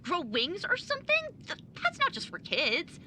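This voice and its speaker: A woman's voice: extremely high pitch, huge pitch swings, moderate pace, bright, forceful tone, slight background noise, full character voice. A young woman playing a cranky, impatient younger sibling in an audio drama, talking bluntly and with lots of swearing. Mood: eager, wistful.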